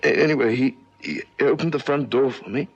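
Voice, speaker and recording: A young newspaperman in a radio play, shaken and apologetic. His mid-pitched voice is husky and halting, tripping and repeating itself as he explains. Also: dull audio. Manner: hesitant, stammering, halting. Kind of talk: 1970s radio drama acting.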